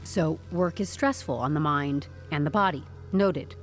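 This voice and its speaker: An actress playing a composed, stubborn HR newcomer in an audio drama, speaking with deadpan corporate politeness and wry candour. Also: female voice, deep voice, measured pace, faint music behind. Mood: brisk, official. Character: composed, stubborn young HR newcomer turning interrogation into interview.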